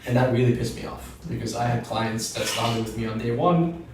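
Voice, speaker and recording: A man in his thirties, a gym co-founder, talking in a measured, reflective way on a podcast and often stopping to rephrase. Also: medium pitch, recorded from a distance. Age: 30s. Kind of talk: podcast conversation. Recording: roomy echo, off-mic, faint noise.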